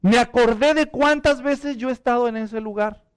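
A middle-aged Mexican man with a Mexican Spanish accent, preaching a sermon, moving from hushed pleas to urgent exhortations. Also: very high voice, normal speed, smooth. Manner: impassioned, drops to hushed prayer then rises to urgent appeals. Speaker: pastor.

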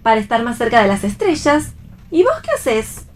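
Playful Argentine young wife, higher voice. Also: female voice, big pitch swings, moderate pace. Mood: teasing. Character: playful, teasing, defiant young wife and mother. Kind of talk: theatrical play reading for radio.

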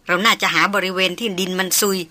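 A woman voicing a tough male jungle tracker speaking low and steady. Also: fast, natural voice. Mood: earnest.

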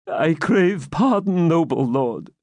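A frightened old moneylender begs and bargains in a thin, raised voice, played by a man reading aloud. His pleas climb high and waver widely. The sound is dry and close. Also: lively intonation, slow pace, no room sound. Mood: pleading. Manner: timid, pleading. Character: fearful old male moneylender, pleading.

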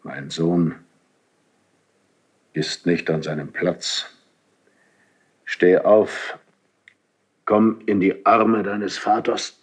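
Husky, slow-spoken regal older king. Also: deep voice, level tone, exaggerated voice. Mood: solemn, magnanimous. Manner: formal, commanding. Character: stern, regal older Spanish king, father of the prince. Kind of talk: 1970s German radio drama, classical verse acting.

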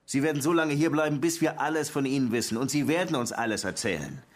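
An actor playing a sardonic, insistent police lieutenant interrogating suspects in a radio crime drama. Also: male voice, medium pitch, lively intonation, quick pace, close to an everyday voice. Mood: pressing, sardonic. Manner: dry, insistent, pressing.

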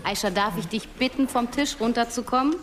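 An actress playing a strict, weary schoolteacher who talks in a dry, sarcastic and firm way. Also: female voice, on the high side, brisk, lightly acted. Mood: stern, sarcastic. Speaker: teacher. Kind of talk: radio drama acting.

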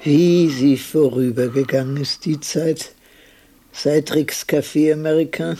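An actor in a radio drama playing an impatient elderly man who cares for a bedridden partner, weary, brisk and chiding. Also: a little high, steady tone, warm tone, soft background music. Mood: reminiscing. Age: older.

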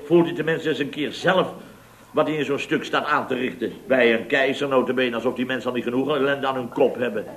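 A man's voice: medium pitch, steady tone, brisk, a bit bright, natural voice. A man acting a blustering, indignant builder boss who tells tall stories theatrically in an old Dutch radio comedy. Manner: theatrical, exclamatory storytelling with repeated tag words.

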